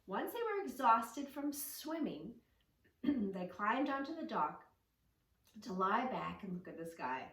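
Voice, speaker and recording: A woman reading a story aloud to children and teaching yoga poses with gentle, encouraging praise. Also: on the high side, sing-song, slow pace. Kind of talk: read-along story with yoga instructions for children. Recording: roomy, echoing, distant microphone.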